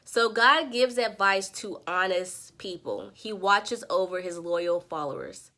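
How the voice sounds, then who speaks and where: A woman's voice: mid-range voice, animated, a little slow, pushed and bright. Congested woman teaching a Bible summary. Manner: earnest, preacherly teaching. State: congested, nasal from a sinus infection. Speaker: Bible study vlogger. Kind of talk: devotional Bible summary vlog.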